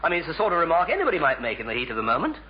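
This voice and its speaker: A man's voice: fairly high pitch, huge pitch swings, very fast. An actor playing a flustered, bumbling, well-meaning English bachelor in an old British radio sitcom, stammering and tripping over his words. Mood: flustered, apologetic. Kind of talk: 1950s-60s British radio sitcom acting. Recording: muffled vintage radio recording, faint hiss.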